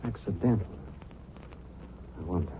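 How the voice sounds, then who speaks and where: An actor playing an urbane, composed gentleman detective in a 1940s radio drama, speaking calmly and politely. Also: sits low. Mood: thoughtful. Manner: calm, polite, measured. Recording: tinny, noisy 1940s radio recording.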